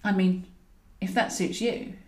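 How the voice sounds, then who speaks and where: A woman's voice: medium pitch, fairly even intonation, measured pace, reverberant, natural voice. A woman reading an audiobook, voicing a flirtatious, easily indignant woman with a theatrical, insistent way of talking. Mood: teasing, suggestive. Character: flirtatious, indignant woman pursuing a reluctant man.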